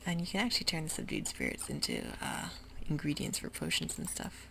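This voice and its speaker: A close-miked whispering voice, mostly breath with a gravelly, fry-laden edge where it turns voiced. The delivery wanders gently with many pauses and fillers, like a relaxed ASMR game tour. A steady hiss and the click of a computer keyboard sit behind it. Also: medium pitch. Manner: soft mostly unvoiced whisper; hesitant, meandering, with many fillers. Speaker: gameplay ASMR host.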